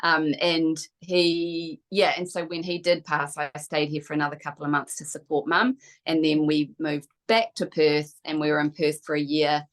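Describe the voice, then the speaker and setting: Low-voiced middle-aged New Zealand woman. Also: little pitch movement, moderate pace, hardly any pauses. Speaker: guest, kinesiologist. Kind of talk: casual conversational interview.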